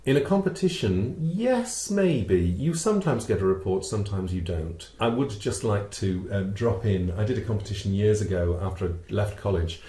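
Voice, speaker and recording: A middle-aged man presenting a webinar as a vocal coach, explaining step by step in a measured way, posing questions and answering them. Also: fairly low pitch, animated, warm tone. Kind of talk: explanatory teaching talk with a co-presenter. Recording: roomy, reverberant sound.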